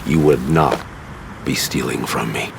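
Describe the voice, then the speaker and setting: An actor playing a smug, menacing crime boss in a video game, taunting with theatrical, drawn-out threats. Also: male voice, extremely low pitch, gravelly, noisy background, heavily acted. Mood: smug menace. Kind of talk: video game cutscene acting.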